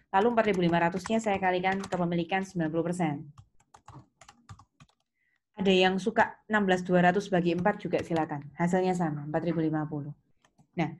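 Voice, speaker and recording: A woman with an Indonesian accent teaching step by step like a lecturer, in a didactic way with questions and reminders. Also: mid-range voice. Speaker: accounting lecturer. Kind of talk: step-by-step lesson with worked examples. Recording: clear background noise, keyboard clicks.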